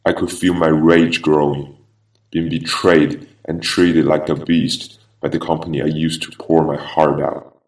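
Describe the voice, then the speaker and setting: A man voicing a vengeful half-machine former attorney in a grave, deliberate, ominous horror game monologue. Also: extremely low pitch, level tone. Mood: bitter, brooding. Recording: echoey, distant-sounding voice.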